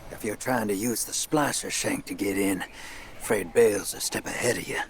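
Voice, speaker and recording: An actor playing a gruff, guarded man in a video game, speaking plainly over faint background ambience. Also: lowish voice, moderate pace, a little hiss or hum, natural voice. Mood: guarded, urgent. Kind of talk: video game cutscene acting.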